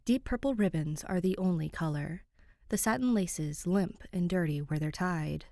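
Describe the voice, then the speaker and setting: A woman's voice: lowish voice, normal speed, vocal fry, mellow, no room sound, clean studio sound. A woman reading a story aloud as a scripted fiction narrator. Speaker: podfic narrator.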